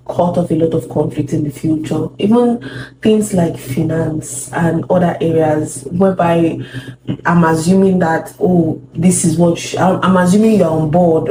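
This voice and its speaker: A woman talking thoughtfully with her husband about communication in marriage, agreeing with him and adding her own points. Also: on the low side. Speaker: co-host, wife. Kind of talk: casual talk on marriage advice. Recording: echoey room with background noise.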